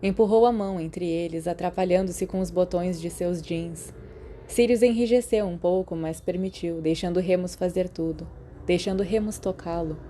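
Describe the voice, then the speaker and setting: A Brazilian woman narrates fiction in a soft-toned, dark and relaxed voice. It sits a little low for a woman, and there is clear noise behind the reading. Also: normal speed. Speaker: audiobook narrator. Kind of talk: expressive scripted story narration.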